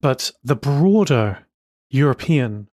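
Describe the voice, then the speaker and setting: A man co-hosting a podcast in casual chat, relaxed, often agreeing and adding quick asides. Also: mid-range voice, no echo, studio quality. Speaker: podcast co-host.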